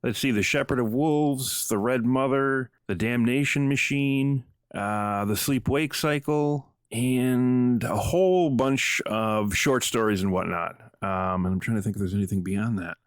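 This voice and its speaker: A man's voice: mid-range voice, a little slow, no room sound, studio quality. A man speaking casually as an interview guest, informal, rambling and self-effacing. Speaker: podcast guest, audio drama writer.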